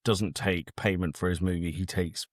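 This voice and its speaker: A man's voice: low voice, steady tone, normal speed, no echo. A man co-hosting a casual film review chat with dry, sardonic humour. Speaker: podcast co-host.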